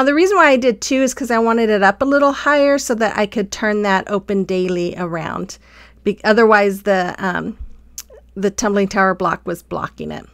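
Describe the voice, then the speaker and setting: A woman host casually narrating her craft project in a relaxed, chatty voice-over with frequent ums. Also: a little high, no echo.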